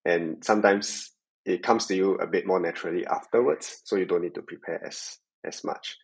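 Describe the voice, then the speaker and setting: Male podcast guest, young adult, Singaporean accent, low husky voice, reflective answers. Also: normal speed. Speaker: podcast guest, tech sales worker. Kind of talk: reflective interview conversation.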